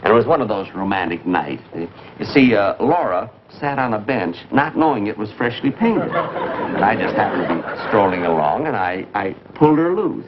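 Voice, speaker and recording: Through a dull, noisy old soundtrack comes a timid piano tuner whose voice swoops up and down. He hesitates and stammers in fussy 1950s comedy style, honest and quietly pleased with himself. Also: fairly low pitch, very animated, normal speed, a crowd in the background. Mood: bashful, chatty. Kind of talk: 1950s television comedy acting.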